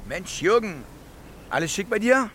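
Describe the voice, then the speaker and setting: An actor playing a cynical, brash fake war reporter in a radio crime drama, speaking in a sardonic, colloquial, commanding way. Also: male voice, high voice, lively intonation, moderate pace, heavy background noise. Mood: hurried, placating. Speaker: protagonist and first-person narrator. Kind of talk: radio crime drama acting with casual narration. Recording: music behind the voice.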